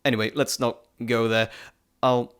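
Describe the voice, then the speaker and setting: Guy doing a playful greek messenger god, chatty n fond, medium pitch, teasing you in an audio roleplay. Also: flat delivery, a bit bright, clear, resonant tone, lightly acted. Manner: chatty, teasing, theatrical asides and pauses. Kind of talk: intimate audio roleplay to listener.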